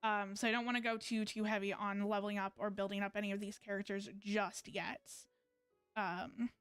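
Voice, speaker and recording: A young woman from Canada chats to her stream viewers, trailing off and restarting her sentences often. Her voice is crisp and clean, and the close mic sounds dry and free of room echo. Quiet game music plays underneath. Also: mid-range voice, little pitch movement, normal speed. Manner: rambling, hesitant, many fillers and restarts. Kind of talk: casual gameplay chat with viewers.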